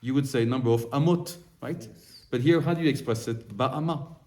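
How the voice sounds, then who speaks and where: Man teaching a halting, animated lesson. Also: medium pitch. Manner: halting, restarts and repeats words while explaining; grows more animated when working through a text. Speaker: Torah teacher. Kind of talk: informal study-group lesson.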